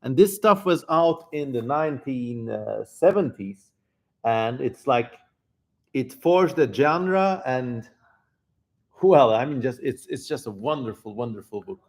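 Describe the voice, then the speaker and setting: A man's voice: medium pitch, expressive, a little slow. A man hosting a livestream in casual chat, effusive and repeating words for emphasis as he talks to viewers. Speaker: livestream host.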